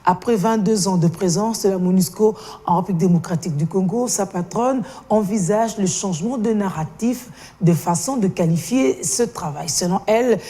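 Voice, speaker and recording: A female news reporter reads a prepared report in a very mellow, soft-edged tone. Her pitch sits in the middle for a woman, and the delivery is that of scripted narration.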